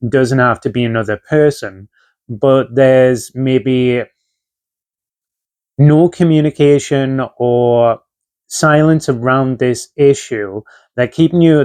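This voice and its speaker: A man gives a relaxed tarot reading with plenty of fillers and asides. He is still recovering from a chest infection, and his mid-range voice sits close and dry with no room sound around it. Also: mid-range voice, no echo. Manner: conversational, with frequent fillers and asides. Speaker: tarot reader. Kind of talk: casual tarot reading to camera.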